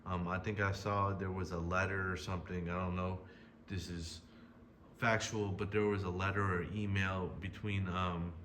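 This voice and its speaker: A man commenting casually on a video he is reacting to, talking in a hesitant, halting way with many fillers and restarts. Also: low-pitched, level tone, a bit bright, a little hiss or hum. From United States.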